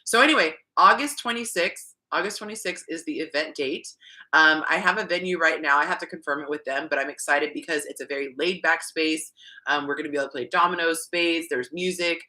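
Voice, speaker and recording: A woman's voice: low-pitched, brisk, pushed and bright. A young woman from California, hosting a casual livestream, chatting with viewers and reading their comments aloud with frequent ums. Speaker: dating advice host.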